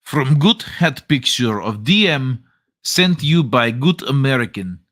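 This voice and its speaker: A man voicing a stiff, formal Russian man who speaks halting English and drops his articles. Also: medium pitch, big pitch swings, fairly bright tone, dry recording, clean studio sound. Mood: formal, deadpan. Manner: halting, overly formal phrasing with dropped articles.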